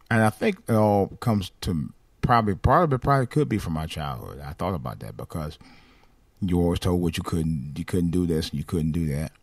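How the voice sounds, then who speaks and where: A man talking casually about his own life, rambling and restarting phrases, with a light voice that has little bass in it. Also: a little mellow, no echo. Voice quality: light voice without much bass. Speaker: podcast host. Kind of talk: casual personal monologue.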